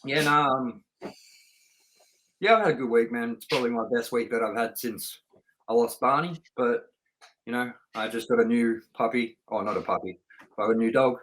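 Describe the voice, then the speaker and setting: A man's voice: mid-range voice, normal speed, long pauses, a bit bright, a little hiss or hum, poor audio. A middle-aged man hosting a film review show, talking in a casual, unscripted, chatty way that rambles through personal anecdotes.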